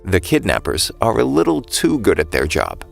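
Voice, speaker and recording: A man narrates a scripted story recap in a notably deep voice. There is a creaky, gravelly grain to his delivery, and soft music plays faintly behind him. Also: huge pitch swings, normal speed.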